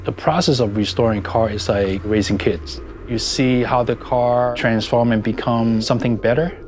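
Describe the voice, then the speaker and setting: A man's voice: mid-range voice, fairly even intonation, moderate pace, a little mellow, a little hiss or hum. A middle-aged man from Hong Kong with a Hong Kong accent, telling his story reflectively as a car enthusiast in a documentary interview. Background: background music.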